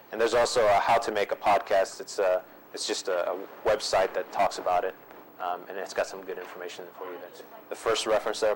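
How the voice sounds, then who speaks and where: Guy presenter in a seminar room, lowish voice, easygoing, lots of ums, slight hiss. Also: low-quality recording. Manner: easygoing, repeats himself with ums. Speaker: workshop presenter. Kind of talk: answering audience questions.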